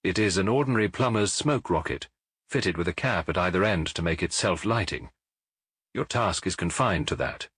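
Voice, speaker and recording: A brilliant, commanding Victorian detective played by a male audiobook reader with an English accent. His pitch moves freely as he lays out orders with precise authority. The voice is a little husky and recorded very dry. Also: animated, moderate pace, a bit bright, no echo, full character voice. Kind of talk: audiobook character acting.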